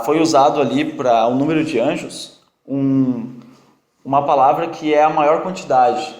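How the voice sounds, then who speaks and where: A man with a strong non-native accent teaching a Bible study class, quizzing and teasing his students in a lively, joking way. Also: on the high side. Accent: strong non-native accent in Portuguese. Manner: jokey, quizzes and teases students. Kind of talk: interactive classroom teaching with questions to the group. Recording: echoey room.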